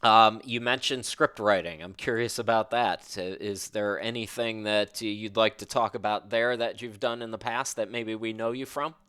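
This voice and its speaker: A man's voice: fairly low pitch, fairly even intonation, normal speed, few pauses, bright, forceful tone, clean tone. A man, hosting a casual interview in an informal, enthusiastic way. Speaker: podcast host, interviewer.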